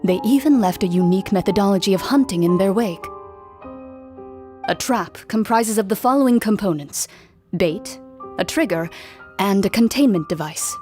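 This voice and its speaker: A woman voicing a composed, serious duelist in a game, calm and precise. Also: mid-range voice, moderate pace, faint music behind, natural voice. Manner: calm, precise, matter-of-fact.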